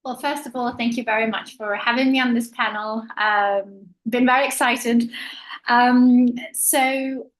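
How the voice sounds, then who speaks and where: A woman with a Danish accent, speaking as a panelist in a webinar on a video call, thoughtful and pausing often with fillers. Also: a little high, steady tone, low-quality recording. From Denmark. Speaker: panelist, pre-loved clothing store owner.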